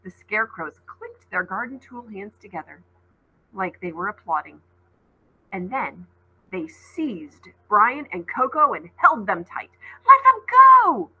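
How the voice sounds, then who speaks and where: A woman reading a children's novel aloud as a teacher, in expressive storybook narration. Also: mid-range voice, unhurried, slightly rough, narrow, tinny audio.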